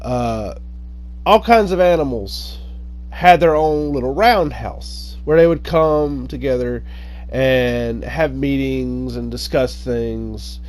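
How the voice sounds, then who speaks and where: A man telling folk tales informally in a halting, hesitant way, often pausing and correcting himself. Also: mid-range voice, a little mellow. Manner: halting, hesitant delivery with frequent pauses and self-corrections. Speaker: online storyteller.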